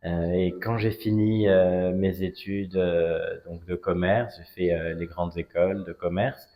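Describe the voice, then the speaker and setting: A man in his forties with a fairly low voice speaks as a guest in a conversational interview. He is from Strasbourg in France and builds his phrases carefully, pausing and hesitating often. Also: little pitch movement, dull audio. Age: 40s. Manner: hesitant, measured phrasing with frequent pauses. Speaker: interview guest, cigar brand ambassador. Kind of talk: conversational interview, personal story.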